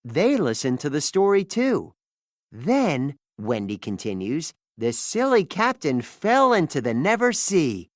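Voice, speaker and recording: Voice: medium pitch, huge pitch swings, a little slow, dry recording, clean studio sound. A storybook narrator reading a scripted children's tale expressively, acting out the dialogue.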